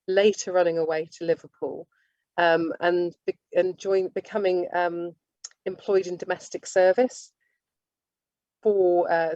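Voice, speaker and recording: A woman giving an informal lecture, halting and often restarting her sentences. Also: lowish voice, a little mellow, dry recording. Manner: halting, with many restarts and self-corrections. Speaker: speaker giving a talk on nursing history. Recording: dull lo-fi dry recording.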